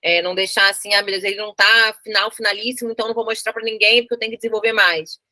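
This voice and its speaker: A Brazilian woman with a bright voice at an average pitch, recorded very dry. She chats informally, as in a relaxed interview, often adding tag questions to check agreement. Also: racing, dry recording. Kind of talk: casual making-of interview chat.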